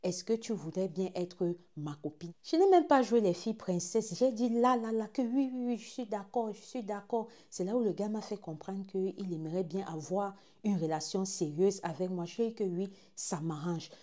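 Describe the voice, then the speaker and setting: A Gabonese woman in her twenties with a Gabonese French accent, telling a personal story dramatically, dropping to hushed asides and rising to excited exclaims. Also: mid-range voice, normal speed, few pauses. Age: 20s. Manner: animated, swings from hushed asides to loud exclaims, repeats phrases.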